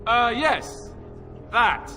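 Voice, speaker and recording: An earnest young warrior prince in a fantasy game. His voice is very high for a man and forceful, boyishly emphatic, ringing in a long hall reverb. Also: sing-song, very slow, faint music behind, full character voice. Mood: flustered. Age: young adult. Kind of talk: dramatic game acting. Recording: long hall reverb, faint background noise.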